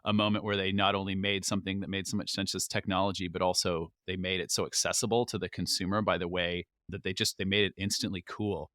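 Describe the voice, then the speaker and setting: A man's voice: low voice, level tone, quick pace, few pauses, a bit bright, no echo, studio quality. A man co-hosting a podcast, asking curious, enthusiastic follow-up questions. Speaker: podcast co-host. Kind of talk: casual interview chat.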